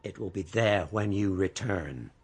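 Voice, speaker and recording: An actor playing a shrewd older family lawyer in a radio drama, measured and pointed. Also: male voice, sits low, husky. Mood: cold, warning.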